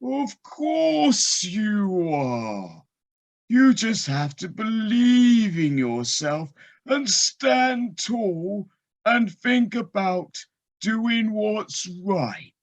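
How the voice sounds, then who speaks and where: Wise talking tree, female actor: very slow, animated, exhorting, for audio drama. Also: mid-range voice, heavily acted. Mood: encouraging. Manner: deliberate, exhorting. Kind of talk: amateur audio play acting.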